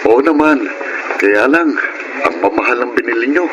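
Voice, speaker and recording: A man's voice: mid-range voice, animated, normal speed, muffled recording, background noise, close to an everyday voice. A Filipino man playing a responsible, modest, middle-aged eldest brother in a radio drama. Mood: mildly wary. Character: responsible, modest eldest brother, forty-two, mildly wary.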